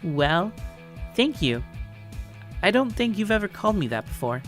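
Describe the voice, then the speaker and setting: A man's voice: high voice, big pitch swings, moderate pace, a bit bright, soft background music, voice pushed up. A man voicing a tall, confident tomboy girl who teases playfully in story dialogue. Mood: cheerful, assured. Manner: breezy, teasing.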